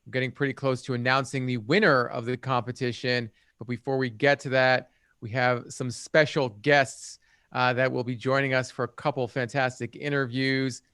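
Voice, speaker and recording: A man hosts a live competition stream in a friendly, easygoing manner. His voice is smooth and resonant, at a middle pitch. It is recorded dry and close. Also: flat delivery, normal speed, a bit bright, no echo. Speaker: host, podcast editor. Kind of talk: livestream interview hosting.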